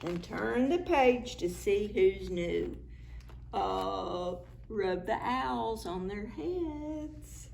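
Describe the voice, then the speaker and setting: A woman, a children's librarian, reading picture books aloud at preschool story time in an animated, sing-song way with soft whispered asides. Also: medium pitch, very slow, creaky.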